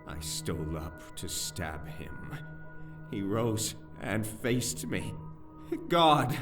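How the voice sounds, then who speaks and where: An actor playing an anxious, shaken high-born conspirator in a fantasy audio drama, speaking in a dramatic, halting way. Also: male voice. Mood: horrified. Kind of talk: full-cast fantasy audio drama acting. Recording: distant mic under background music.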